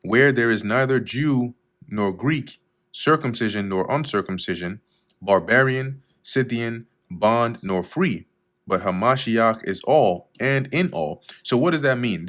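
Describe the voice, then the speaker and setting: A man teaching verse by verse through scripture, reading each verse slowly and then explaining it in a casual way with fillers, often clearing his throat. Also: medium pitch, fairly bright tone. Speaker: Bible teacher.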